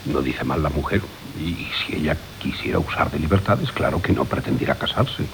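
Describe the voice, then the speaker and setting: An actor playing a swaggering, wheedling rogue suitor in a theatrical radio comedy, declaiming in Castilian Spanish with sly muttered asides. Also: male voice, a bass voice, fairly quick. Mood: sly, muttering. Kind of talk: theatrical Golden Age comedy radio acting. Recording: hissy 1980 radio drama recording.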